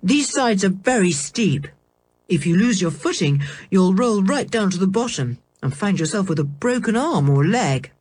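A woman voicing a sensible older schoolboy who speaks calmly and reassures the others. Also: mid-range voice, moderate pace, husky. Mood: reassuring. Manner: calm, reassuring.

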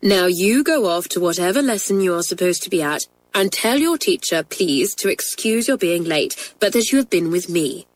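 A woman voicing a kind, gentle headmistress who speaks in a calm, measured way in a storybook reading. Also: on the low side, no room sound, natural voice, low-quality recording. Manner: calm, measured, soft-spoken. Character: kind, gentle female headmistress, middle-aged.

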